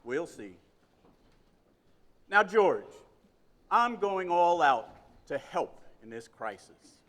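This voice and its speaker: Man doing a smooth menacing rich banker, lively voice, hall reverb. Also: sits high, slow, heavily acted. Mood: scheming. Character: scheming older male rich banker. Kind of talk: live stage radio play.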